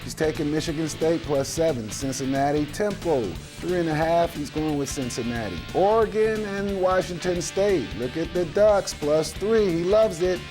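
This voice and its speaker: A man with a slightly raspy voice reading out football picks on a sports show. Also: fairly high pitch, normal speed, few pauses, music in the background. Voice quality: a little raspy. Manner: lively picks readout. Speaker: co-host.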